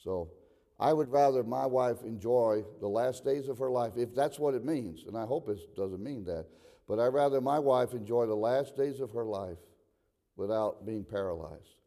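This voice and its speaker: A man's voice: medium pitch, moderate pace, gravelly, warm tone. A man in his sixties preaching a sermon, moving between conversational talk and emphatic exhortation, repeating phrases for emphasis. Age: 60s. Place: large reverberant room, preaching to a congregation. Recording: reverberant hall sound.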